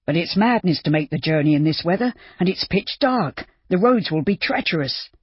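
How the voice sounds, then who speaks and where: Woman reader voicing a steady young gentleman, firm and level, a touch husky. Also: fairly low pitch, moderate pace, no room sound. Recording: dull muffled audiobook recording.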